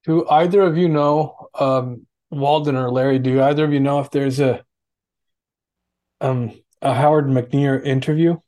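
A man's voice: medium pitch, steady tone, a little slow, dark tone. A man in casual conversation on a group call, putting questions politely and hesitantly. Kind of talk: casual chat.

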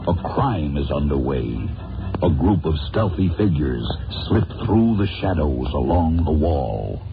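An actor narrating a superhero adventure serial as a dramatic announcer, with a portentous scripted delivery. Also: male voice, extremely low pitch, very animated, measured pace, over music, full character voice. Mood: suspenseful. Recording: tinny band-limited old audio.

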